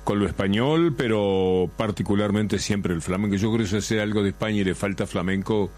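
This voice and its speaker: Chatty radio host, low voice. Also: male voice, brisk, darkish tone. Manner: chatty, lots of questioning tags. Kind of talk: casual radio interview.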